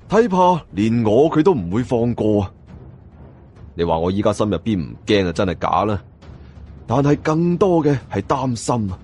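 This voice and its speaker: A man's voice: mid-range voice, a little mellow, breathy edge. A man with a Cantonese accent narrating a scripted first-person story in a dramatic style. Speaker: audiobook narrator.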